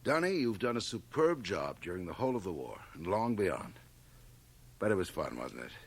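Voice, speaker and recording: A blustering, exasperated board chairman around fifty in a 1980 radio drama. His delivery is emphatic and halting by turns, with very lively pitch. It is an old cassette transfer of a broadcast. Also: creaky, slightly rough, exaggerated voice. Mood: smooth, hearty. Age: middle-aged. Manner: emphatic, hesitant then blustering. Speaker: actor.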